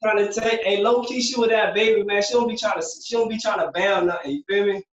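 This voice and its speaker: A man's voice: high voice, fairly even intonation, fast talker. A young man from Puerto Rico, a former prisoner, telling his story as a guest in a loose, streetwise way, filling his talk with "you know what I'm saying". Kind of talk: casual interview storytelling. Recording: roomy, distant, dull lo-fi sound.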